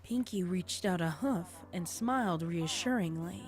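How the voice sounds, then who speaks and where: A woman's voice: lowish voice, unhurried, breathy edge, soft background music. A woman reading scripted story narration as the narrator of a tale.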